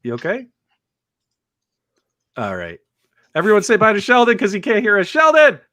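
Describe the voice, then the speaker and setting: A man's voice: high voice, huge pitch swings, moderate pace, bright, forceful tone, dry recording, clean studio sound. A man hosting a casual live-stream panel show, chatting informally and teasing his guests. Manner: jokey, teasing, addresses guests and viewers informally. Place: remote online video call.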